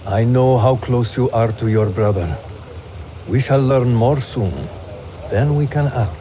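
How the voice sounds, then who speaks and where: A man's voice: medium pitch, a little slow, dark tone, close to an everyday voice. An actor playing a grave assassin elder who recounts his order's traditions solemnly. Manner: solemn storytelling. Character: grave male assassin elder telling lore. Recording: noisy ambience behind.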